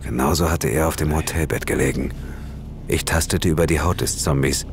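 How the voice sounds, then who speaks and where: An actor playing an investigator who narrates his eerie case in a measured, suspenseful horror audio drama style. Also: a bass voice, vocal fry, background noise. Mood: composed suspense. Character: male investigator recounting his eerie case, tense and composed. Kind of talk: scripted first-person horror narration. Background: music.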